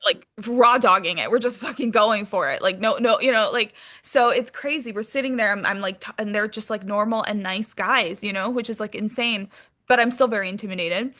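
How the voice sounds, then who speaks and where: A woman's voice: medium pitch, a little fast, sharp tone. A woman, an actress from Hong Kong, chatting casually and animatedly as an interview guest, with informal, sweary phrasing full of "like". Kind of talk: casual interview chat, telling career anecdotes.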